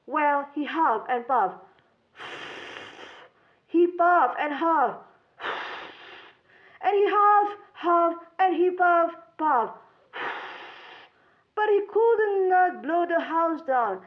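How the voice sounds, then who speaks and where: A woman's voice: very high-pitched, lively intonation, very slow, pauses often. A woman storyteller narrating a children's storybook tale, on a dull recording. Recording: dull lo-fi sound.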